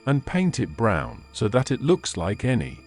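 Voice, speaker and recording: A man's voice: fairly low pitch, wildly swooping pitch, unhurried, a little mellow, faint music behind, heavily acted. A man voicing a poor, crafty, insistent village peasant in plain, persuasive storybook dialogue. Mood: scheming.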